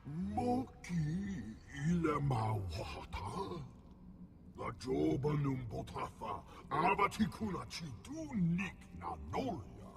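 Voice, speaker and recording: A huge, blustering alien slug for a game cutscene. The guttural voice is thick with gravel and drags slowly, with wild swoops through an invented language. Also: male voice, on the high side, huge pitch swings, heavily acted.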